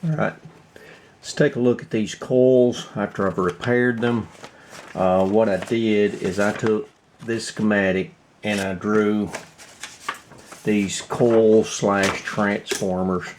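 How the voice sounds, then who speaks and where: Guy doing a casual workbench walkthrough, kinda low voice, really slow and halting. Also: steady tone, slight background noise. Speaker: hobbyist radio restorer presenting his repair.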